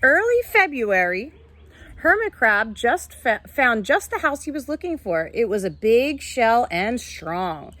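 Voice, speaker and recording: Female primary-style teacher, fairly high voice, storytelling for young children with simple, instructive explanations. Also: sing-song, a little slow, a bit bright. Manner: instructive, addresses young listeners with simple questions. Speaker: teacher reading aloud.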